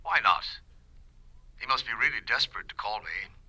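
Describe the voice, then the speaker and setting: A man's voice: medium pitch, lively intonation, normal speed, pushed and bright, breathy edge, heavily acted, low-quality recording. An actor playing a smug, arrogant male businessman in a 1970s radio drama, mocking and dismissive. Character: smug male businessman who wronged his partner.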